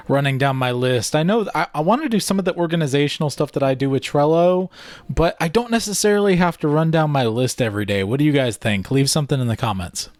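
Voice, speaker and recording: A man's voice: on the high side, no echo. A man talking casually as a vlogger, informally thinking aloud while he works. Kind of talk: casual vlog talk.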